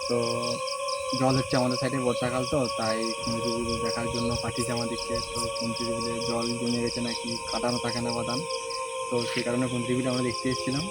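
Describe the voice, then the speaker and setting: Man, quick excitable delivery, ghost-hunt vlogging, outdoors at night, heavy music bed. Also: medium pitch. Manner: repetitive, excitable, uneasy. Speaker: vlogger presenting to viewers. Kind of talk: outdoor ghost-hunting vlog talk, addressing friends and camera. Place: outdoors at night on a village path. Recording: loud music over outdoor night recording. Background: loud music, chirping night insects.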